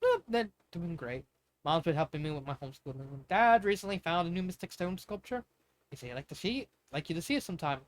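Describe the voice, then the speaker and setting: A good-natured young prince read by an amateur storyteller, middle in pitch. He is affectionate and mild, with a slightly hesitant, playfully resigned way of talking in a fantasy story. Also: animated, brisk, lightly acted. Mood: relaxed.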